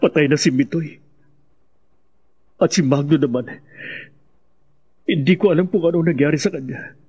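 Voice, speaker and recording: A man with a Filipino accent acting a guilt-ridden husband and confessed killer in a radio drama, halting and heavy with remorse. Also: fairly high pitch, mellow, husky, dull audio. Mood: haunted, confessing.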